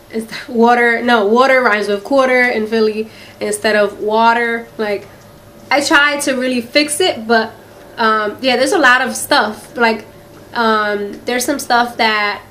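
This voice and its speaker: Young woman, Philly accent, slightly high voice, rambling chatty vlogger; faint room noise behind. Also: fairly bright tone. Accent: Philadelphia. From Philadelphia, USA. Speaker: beauty vlogger. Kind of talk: casual Q&A vlog to camera. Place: quiet room in a house.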